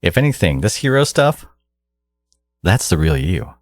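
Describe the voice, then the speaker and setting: A man playing a menacing, condescending genius supervillain in an audio roleplay, exasperated and obsessive yet caring, with a low, gravelly, husky voice. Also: very animated, unhurried, dry recording. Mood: smug, obsessive. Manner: condescending, menacing, theatrical, slipping into whispers. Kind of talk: dramatic second-person audio roleplay monologue.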